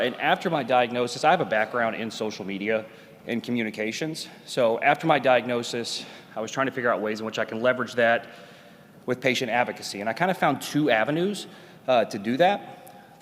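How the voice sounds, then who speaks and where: A man in his thirties from Tennessee giving a loud, earnest patient talk at a conference, with many "uh"s. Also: mid-range voice, a little hiss or hum. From Nashville, Tennessee. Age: 30s. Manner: loud, earnest, filler-heavy. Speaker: patient advocate speaker. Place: conference hall. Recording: reverberant conference hall.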